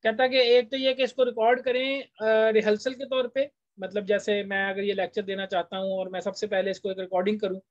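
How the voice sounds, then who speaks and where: A man's voice: sits high, little pitch movement, brisk, hardly any pauses, no echo. A man with a Pakistani accent lectures in a conversational, explanatory way, going through a text point by point. The recording is dry and somewhat dull, with a lo-fi edge. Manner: conversational, switches between languages to translate.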